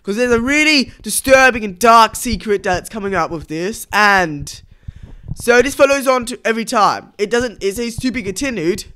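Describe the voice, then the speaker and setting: A man hosting a gaming video, giving casual, halting commentary over cutscenes. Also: high voice, expressive, sharp tone, smooth.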